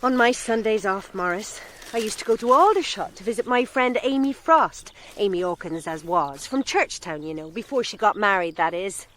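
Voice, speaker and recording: A woman's voice: mid-range voice, animated, moderate pace, few pauses, fairly bright tone, slight background noise, natural voice, poor audio. An actress playing a chatty, scatterbrained middle-aged country mother in a radio drama, fussing and gushing in a bossy, motherly way. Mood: reminiscing.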